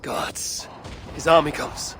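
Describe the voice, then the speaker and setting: An actor playing a blunt, determined Norse warrior with terse, resolute delivery in a dramatic video game. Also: male voice, slow, background noise, close to an everyday voice. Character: determined, blunt Norse raider warrior seeking to end bloodshed.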